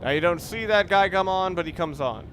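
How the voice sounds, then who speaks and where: A young man with a fairly high voice talks through a video game in a loose, rambling way, often stopping to correct himself. Clear background noise sits under his voice along with the game audio. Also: fairly quick. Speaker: let's play commentator. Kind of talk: casual gameplay commentary.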